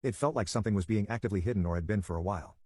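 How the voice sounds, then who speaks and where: A man reads a dramatic first-person story at a brisk clip. His voice sits fairly low and has a husky grain, delivered in short, punchy phrases. The recording is dry and close. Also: warm tone, no echo. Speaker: storyteller narrator.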